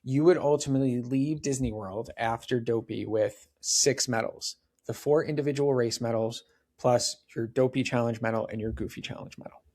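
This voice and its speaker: A man's voice: mid-range voice, steady tone, normal speed, a little mellow. A man in his forties, a podcast guest, chatting casually and dropping lots of "like" and "right?" asides. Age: 40s. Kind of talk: casual interview chat.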